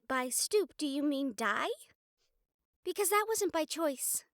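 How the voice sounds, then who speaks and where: An actress playing a sardonic, defiant young fledgling vampire in an audio roleplay drama, with a wry, sarcastic delivery. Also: female voice, high-pitched, expressive, slow, fairly bright tone, no room sound, studio quality, exaggerated voice. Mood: sarcastic defiance.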